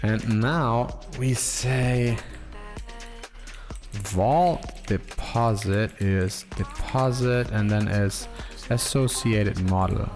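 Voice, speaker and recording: A man streaming himself coding, thinking aloud in a slow, halting way and reading code out as he types. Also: lowish voice, music in the background. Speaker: programmer streaming live coding.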